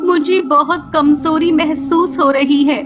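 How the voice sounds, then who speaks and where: A woman's voice: high voice, fairly even intonation, slow pace. A woman voicing a sad, meek little girl bird in a children's cartoon, plaintive and pleading. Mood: weak, meek. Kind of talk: Hindi children's cartoon acting. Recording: background music bed.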